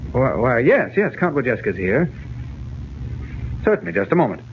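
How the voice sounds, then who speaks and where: An actor playing a quick-thinking, take-charge American reporter in a 1940s radio serial, speaking urgently and decisively. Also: male voice, mid-range voice, big pitch swings, natural voice. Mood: thinking, controlled. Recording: tinny, hissy 1940s radio recording.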